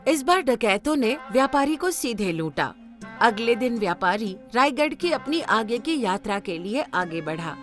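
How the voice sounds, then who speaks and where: A woman's voice: fairly high pitch, sing-song, unhurried, soft background music. A woman narrating a scripted moral story.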